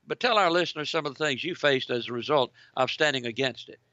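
A man's voice: medium pitch, fast, dry recording, highs cut off. A man hosting a talk radio show, speaking to his listeners in a preacherly way and interviewing a guest. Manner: preacherly, addresses listeners as ladies and gentlemen.

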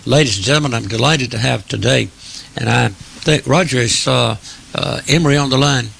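A man hosting a radio interview as a pastor, speaking in a formal, deliberate, preacherly way. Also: mid-range voice, creaky, fairly bright tone, slight background noise.

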